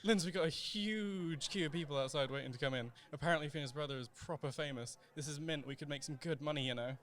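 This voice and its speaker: A man's voice: on the high side, fast talker, a bit bright, close to an everyday voice. An amateur actor playing a blunt, cheeky young man who hangs around a community centre, in a radio play. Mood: excited.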